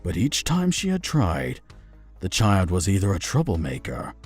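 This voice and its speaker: A man's voice: deep voice, huge pitch swings, normal speed, breathy edge, faint music behind. A man reading the narration of a visual novel. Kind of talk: storybook narration.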